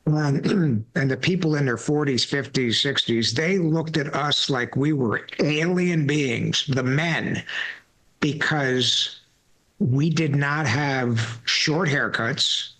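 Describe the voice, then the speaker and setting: A man's voice: medium pitch, slow pace, fairly bright tone, poor audio. A man in his sixties hosting a podcast, telling stories in a loose, rambling way with repeated words and folksy asides. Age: 60s. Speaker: podcast host. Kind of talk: casual conversational interview.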